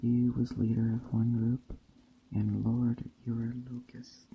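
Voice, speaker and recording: A man reading a story aloud in a soft ASMR style, slowly and deliberately, in a hushed bedtime storytelling manner. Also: mid-range voice, flat delivery, vocal fry, slight background noise. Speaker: storyteller, narrator. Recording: dull muffled sound, faint background ambience. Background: soft nature ambience.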